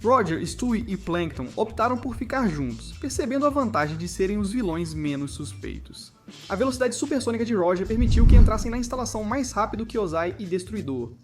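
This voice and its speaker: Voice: medium pitch, animated, brisk. A Brazilian narrator reading a scripted cartoon video essay. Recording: music behind the voice. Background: background music.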